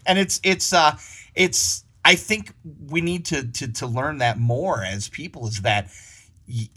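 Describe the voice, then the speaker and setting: A man's voice: a little high, a little slow, bright, forceful tone, slightly rough. A man hosting a casual interview, talking in a rambling way with many restarts and laughs. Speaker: podcast host.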